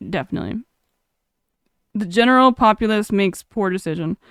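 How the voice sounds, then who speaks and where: A woman's voice: medium pitch, expressive, moderate pace, fairly bright tone, smooth, dry recording, clean studio sound. A young woman streamer chatting casually, reading chat aloud and laughing often.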